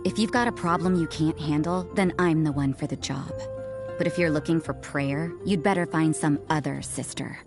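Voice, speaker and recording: A woman voicing an aloof, cynical young nun in a video game, with a cool, languid, deadpan delivery. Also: low-pitched, normal speed. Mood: brusque. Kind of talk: scripted game voice lines. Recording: music behind the voice.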